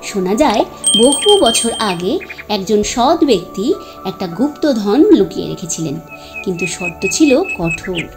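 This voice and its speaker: A woman storyteller narrating a moral tale in an expressive, scripted reading style. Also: mid-range voice, a little slow, music in the background.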